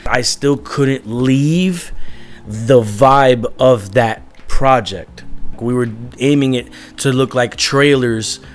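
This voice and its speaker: A man's voice: medium pitch, takes it slowly. A young man, a musician guest, talking candidly in a rambling way, full of "like" fillers. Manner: rambling, heavy use of "like" as filler. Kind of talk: candid casual conversation.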